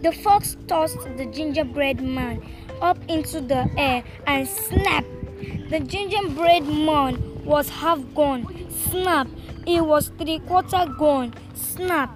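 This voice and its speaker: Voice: high voice, slow. A child, a school pupil, reading a storybook tale aloud. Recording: loud music behind the voice.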